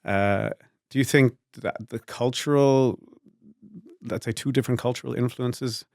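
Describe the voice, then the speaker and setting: A man's voice: medium pitch, measured pace, a little mellow, no room sound, studio quality. A man hosting an interview show, asking curious, conversational questions with hesitant restarts. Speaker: podcast host.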